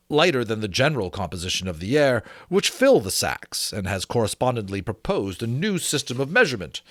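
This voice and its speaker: A man doing a dramatic, deliberate audiobook reading, mixed with casual, chatty asides to his listeners. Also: on the low side, fairly bright tone, no echo, clean studio sound. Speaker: reader and presenter of a book reading channel.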